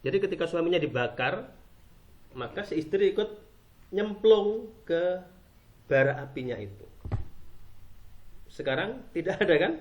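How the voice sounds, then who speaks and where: A man's voice: sits high, expressive, unhurried, pauses often, dull audio. A man from Java, giving an informal explanatory talk. He asks rhetorical questions and answers them himself. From Java, Indonesia. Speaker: speaker on Islam and culture.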